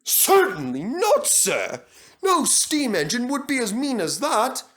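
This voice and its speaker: A man's voice: very high voice, huge pitch swings, slow, fairly bright tone, pitched above the performer's own voice, full character voice. A man voicing a plucky, polite, honest male tank engine in a storybook, earnest and emphatic.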